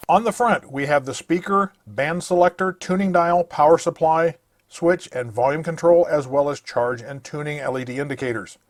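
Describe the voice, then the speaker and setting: A man's voice: fairly high pitch, normal speed, no echo. A man narrating a scripted product review, listing features in a methodical, matter-of-fact way.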